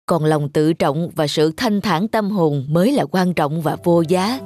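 A woman voicing a principled middle-aged father in a cartoon, measured and moralizing. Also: lowish voice, a little mellow, no echo, clean studio sound, close to an everyday voice. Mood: kindly, earnest. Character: stern, principled middle-aged father, patient teacher of responsibility. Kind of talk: animated cartoon acting. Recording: music under the voice.

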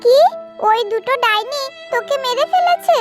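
A woman's voice: very high voice, animated, normal speed, music in the background. A woman voicing a fierce, devoted mother songbird in a children's cartoon fairy tale. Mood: grieving, vengeful.

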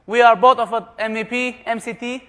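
High-voiced man presenting in a reverberant hall. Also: steady tone, a little fast, bright, forceful tone. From Germany. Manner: jokey, asks the audience questions. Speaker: conference presenter. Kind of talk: lively conference presentation to a live audience. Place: large conference room. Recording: long reverb of a large hall.

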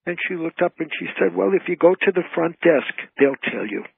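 Husky man on a phone line. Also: on the high side, lively intonation, brisk. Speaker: interview guest, entertainer and author. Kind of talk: conversational radio interview. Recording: tinny, dry phone line.

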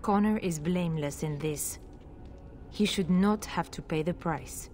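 An anguished noblewoman mother from a fantasy game, acted by a woman, with a lowish voice. She speaks formally, grave and pleading, resolved to sacrifice herself, over a faint rumble. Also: a little slow, a little mellow, lightly acted. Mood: pleading, worried.

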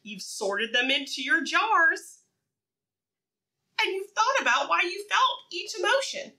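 A woman's voice: very high voice, recorded from a distance. A woman teaching preschoolers in a read-aloud style, speaking simply and encouragingly, with repeated phrases and questions to the children. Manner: animated, encouraging, asks questions and pauses for answers.

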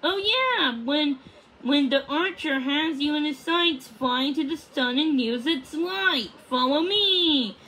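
A woman voicing an excitable, bossy male puffin in a children's storybook, urgent and insistent. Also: sits high, slow pace, voice pushed up, exaggerated voice, poor audio. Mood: confident. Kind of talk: children's storybook read-aloud.

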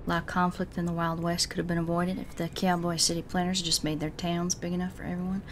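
A woman commentates a game stream in a playful, folksy Southern US accent. Her voice sits a little low, and faint game sound runs behind it. She jokes along in a homespun way. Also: level tone, fast talker, a little hiss or hum. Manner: folksy drawl, joking, playful. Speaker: livestreamer.

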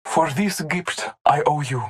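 Game casting: solemn masked hunter, formal and devoted, very slow, deliberate delivery. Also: female voice, deep voice. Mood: solemn, tender. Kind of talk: video game character voice lines.

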